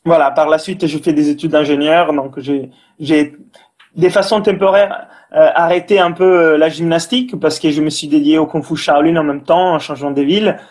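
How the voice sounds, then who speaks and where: An Italian man in his thirties with a slightly high voice, speaking French as a second language. He talks casually and hesitantly, full of fillers, restarts and small grammar slips, as a guest explaining his path in an interview. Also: fairly even intonation, normal speed, darkish tone. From Italy. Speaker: breathing and cold exposure instructor, guest.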